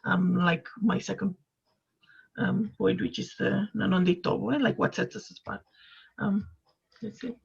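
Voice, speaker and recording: A man speaking as a panelist in a discussion, halting, with many filler sounds. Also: sits high, fairly even intonation, gravelly, slightly rough, lo-fi sound.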